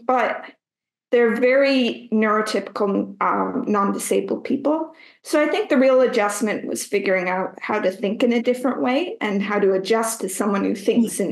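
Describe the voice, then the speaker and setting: A woman's voice: fairly high pitch, creaky, echoey room, rough recording. A woman in her thirties with a Canadian accent, a podcast guest talking thoughtfully with frequent fillers. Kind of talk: conversational podcast interview.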